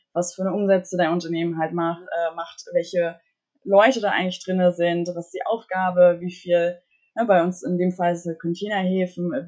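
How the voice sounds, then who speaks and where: A woman's voice: lowish voice, a little mellow. A young woman from northern Germany, a tech founder and podcast guest, talking energetically with frequent fillers, restarts and English buzzwords. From Mecklenburg, Germany. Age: young adult. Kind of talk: conversational podcast interview.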